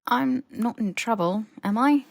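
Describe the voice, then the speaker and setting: A woman's voice: a little high, measured pace, vocal fry, dry recording, clean studio sound, voice pushed up. A woman voicing a sardonic teenage schoolboy wizard in an audiobook, with a wry, questioning manner. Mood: worried.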